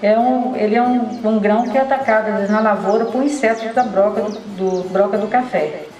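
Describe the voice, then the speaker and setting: A woman's voice: mid-range voice, steady tone, a little fast. Middle-aged woman, informal demo instructor, distant roomy mic, background music. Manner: informal, addresses viewers directly with fillers. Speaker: coffee processing instructor. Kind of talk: hands-on demonstration. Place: bright indoor processing room.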